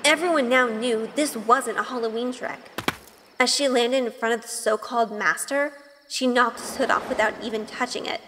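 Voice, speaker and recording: A woman's voice: on the high side, steady tone, moderate pace, pushed and bright, big-room sound, a little hiss or hum. A woman playing an earnest, impassioned young witch who tells her story in an audio drama. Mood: tense storytelling. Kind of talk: audio drama narration and acting.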